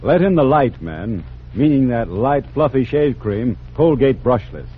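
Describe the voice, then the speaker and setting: A man's voice: medium pitch, animated, unhurried. An American man announcing a 1940s radio commercial in punchy, emphatic sales phrases. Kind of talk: 1940s radio advertisement read. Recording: thin, phone-like, noisy vintage radio recording.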